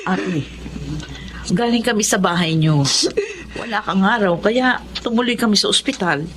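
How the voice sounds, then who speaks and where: An actress plays a conciliatory middle-aged mother in a radio drama. She explains things gently in a medium-pitched voice, with faint background noise. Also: normal speed, lightly acted. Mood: gentle, reassuring. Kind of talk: Tagalog radio drama acting.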